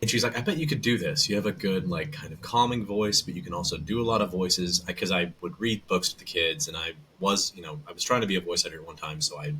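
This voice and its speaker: A man's voice: low voice, quick pace, hardly any pauses, bright, forceful tone, slight background noise, low-quality recording. A man from the American South, a voice actor and audiobook narrator, telling his story as a guest in a casual chat with lots of restarts. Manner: rambling, loose storytelling with many restarts.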